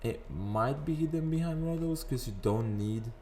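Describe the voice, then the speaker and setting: A man with a raspy morning voice, chatting casually over gameplay and rambling into sidetracks. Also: medium pitch, expressive, normal speed, dark tone, slight background noise. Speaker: gaming video host. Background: faint background music.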